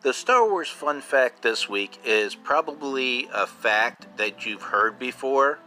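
Male podcast host, fifties: bright, punchy, informal fan chat, repeats points for emphasis, faint music bed. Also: mid-range voice, animated, takes it slowly. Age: 50s. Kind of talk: casual solo podcast talk.

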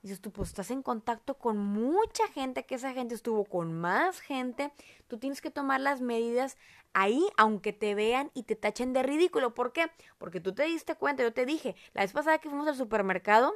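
A woman's voice: fairly high pitch, animated, normal speed. A woman from Monterrey with a Monterrey Mexican accent, hosting a casual podcast chat in a chatty, rambling way, fond of diminutives. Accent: Monterrey Mexican Spanish. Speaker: podcast host.

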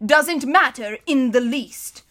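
A scheming villain count from a storybook, acted out by a woman, bright and forceful. He sneers and stretches his words with loud theatrical menace. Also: high-pitched, expressive, takes it slowly, exaggerated voice. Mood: dismissive. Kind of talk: audiobook character voice.

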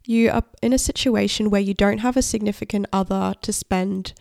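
A woman's voice: medium pitch, steady tone, fairly quick, gravelly, darkish tone, no room sound, studio quality. A young woman in her twenties with a cold, sounding nasal as she hosts her own podcast in chatty, upbeat solo talk. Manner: chatty, upbeat, talks with many likes. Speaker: podcast host. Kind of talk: casual solo podcast chat.

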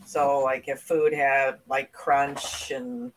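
A man chatting in an easygoing, halting way. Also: mid-range voice, lively intonation, a bit bright, a little hiss or hum, rough recording. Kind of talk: casual chat.

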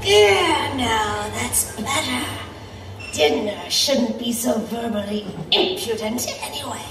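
A woman's voice: a little high, lively intonation, slow, noisy background. A woman playing a predatory siren sea monster in an audio drama, mocking, haughty and theatrical, savoring her formal words. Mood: menacing, mocking. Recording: roomy, distant voice over music.

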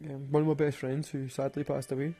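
A man's voice: medium pitch, flat delivery, a little fast, dark tone, faint music behind. A man with a Glaswegian Scottish accent, rambling and swearing through casual stories and laughing at his own jokes. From Glasgow, Scotland. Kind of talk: casual storytelling monologue.

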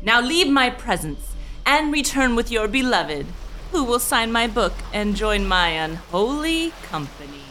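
Slow, menacing sea demon witch. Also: on the high side, sing-song, heavy background noise. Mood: commanding, menacing. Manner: slow, declamatory, theatrical. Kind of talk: gothic audio drama, verse incantation.

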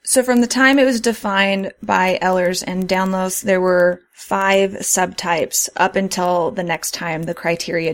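A woman hosting a podcast who reads prepared explanations with care and sometimes laughs at her own slips. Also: on the low side. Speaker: podcast host.

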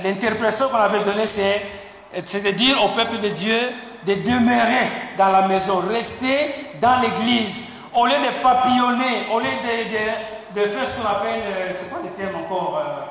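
A man preaching a sermon, halting and repeating words, punctuating points with amen. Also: sits high, fairly bright tone. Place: church sanctuary. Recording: echoey hall with faint noise.

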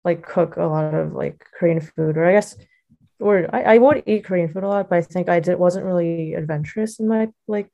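A woman's voice: on the low side, a little fast, creaky, warm tone, dull audio. A young adult woman with an American accent, giving casual, reflective interview answers in a hesitant, loosely phrased way full of filler words. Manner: hesitant, loosely phrased, heavy use of like and you know. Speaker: interview guest, college student.

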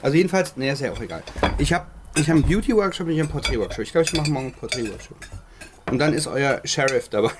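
A man's voice: medium pitch, animated, very fast, background noise. A man co-hosting a podcast, chatting casually, joking and rambling, often laughing, with dishes clinking in the background. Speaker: podcast co-host. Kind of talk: casual chat.

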